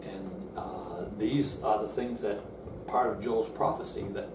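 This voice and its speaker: A man's voice: lowish voice, a little slow. An older man gives a seated Bible lesson in an earnest, explanatory way, often restarting phrases as he works through verses. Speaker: Bible teacher. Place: indoor room in front of a screen. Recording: roomy, distant, noisy room sound.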